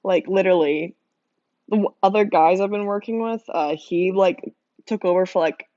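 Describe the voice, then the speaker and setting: A young woman with a hoarse, worn-out voice chatting casually with her stream viewers, laughing often and rambling with lots of fillers. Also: mid-range voice, moderate pace, dark tone, no echo. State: hoarse, losing voice from screaming. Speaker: livestreamer drawing art. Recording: dull lo-fi dry mic sound.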